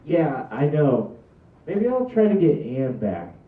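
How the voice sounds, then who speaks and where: A man's voice: fairly high pitch, very animated, slow pace. Man acting an awkward lovelorn teenage boy, echoey. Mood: glum. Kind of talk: table read of a comedy film script. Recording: muffled, echoey, far from the mic.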